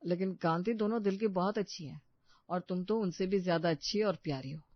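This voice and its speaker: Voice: mid-range voice, fairly quick, dry recording, dull audio, natural voice. A performer voicing a kind, reassuring young husband in a family story. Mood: caring.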